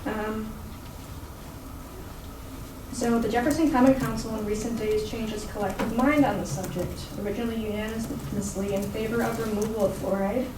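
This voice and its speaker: A soft-voiced woman from the Midwest giving public comment, reading out a citation. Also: a little high, fairly even intonation, moderate pace. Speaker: resident speaking at public comment. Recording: echoey room, distant mic, clear noise.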